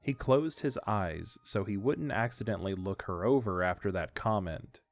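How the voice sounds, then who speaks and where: A man's voice: fairly low pitch, normal speed. A man reading scripted story narration as the narrator of an audiodrama.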